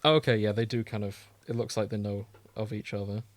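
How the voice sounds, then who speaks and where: A man's voice: on the low side, little pitch movement, a little fast, no room sound. A man co-hosting a podcast, chatting casually and riffing on stories with dry, teasing humour. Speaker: podcast co-host. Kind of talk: casual chat, reading and riffing on fan fiction.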